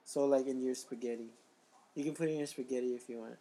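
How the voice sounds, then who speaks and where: Casual raspy-voiced podcast host man. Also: mid-range voice, steady tone, fast, warm tone, poor audio. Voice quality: slightly raspy. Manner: laughing, joking, reads aloud.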